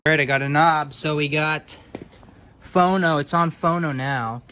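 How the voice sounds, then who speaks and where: Casting: male hobbyist repairer, lightly raised pitch, casual muttered demo style, faint background noise. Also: steady tone, measured pace, pushed and bright. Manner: offhand, muttering to himself, mild swearing. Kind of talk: casual hands-on demonstration commentary.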